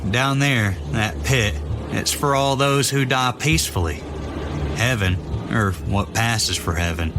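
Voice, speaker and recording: A man plays a young soldier haunted by what he has seen, a fanatic with a lowish voice. He speaks gravely and deliberately, like a horror drama confession, with clear music running underneath. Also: natural voice. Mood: menacing resolve. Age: young adult.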